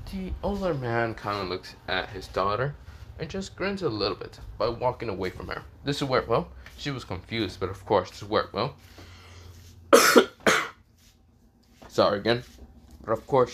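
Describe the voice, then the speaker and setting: A man who is sick and coughing, telling a fan fiction story in a rambling, halting way, often restarting with "this is where, well". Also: on the low side, expressive, a bit bright, slight background noise.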